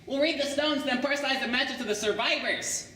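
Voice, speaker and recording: A man's voice: very high voice, fast talker, sharp tone, slight background noise, pitched above the performer's own voice. A young man voicing a nerdy teenage boy who giggles while he lays out a prank. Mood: excited. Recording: echoey room, far camera.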